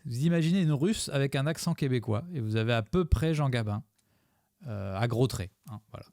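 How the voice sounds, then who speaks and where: A man's voice: mid-range voice, brisk, a little mellow, slight background noise. Rambling jokey man streaming live. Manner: rambling, jokey, thanks followers. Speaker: streamer commenting his own video. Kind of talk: live commentary to chat.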